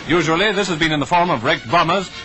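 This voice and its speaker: High-pitched British 1940s newsreel announcer, music behind. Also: male voice, fast. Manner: clipped, declamatory delivery. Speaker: newsreel narrator. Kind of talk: 1940s wartime newsreel commentary.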